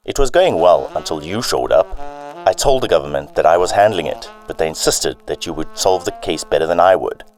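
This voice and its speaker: Man as menacing crime-boss police chief, very low voice, swooping delivery, amateur radio drama. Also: animated, a little mellow, faint music behind. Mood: scheming, boastful. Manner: deliberate villainous monologue.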